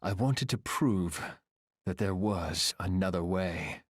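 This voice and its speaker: An actor playing a proud, reflective exiled engineer and airship captain in a video game, speaking in an earnest, measured, solemn way. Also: male voice, low voice, no echo. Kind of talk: dramatic video game voice acting.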